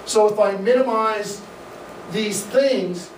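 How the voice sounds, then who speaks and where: A man's voice: high-pitched, slow, far from the mic. Midwestern man lecturing in an echoey room. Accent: Midwestern American. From American Midwest. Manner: energetic, gesturing, conversational with fillers. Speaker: swim coach giving a talk. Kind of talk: live presentation to an audience. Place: dim indoor event room, in front of a projection screen. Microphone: room camera from the audience, no mic seen. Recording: echoey room, voice from afar, faint noise.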